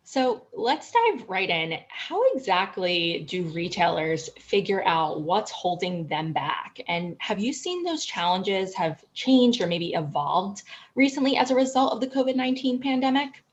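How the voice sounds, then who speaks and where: A woman hosting an interview who asks brisk, upbeat questions. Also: medium pitch, expressive. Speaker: interview host. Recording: dull, lo-fi sound.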